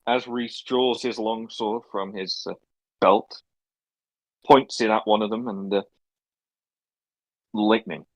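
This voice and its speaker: A man's voice: on the low side, fairly even intonation, takes it slowly, long pauses, dry recording, rough recording. A man from Wales playing a tabletop game, talking hesitantly with many restarts. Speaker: player. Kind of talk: tabletop game play over voice chat.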